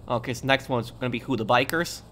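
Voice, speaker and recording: A man's voice: medium pitch, fast, pushed and bright. Man commentating casually over noisy gameplay. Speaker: gaming video creator. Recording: clear game noise and gunfire behind. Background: video game gunfire and ambience.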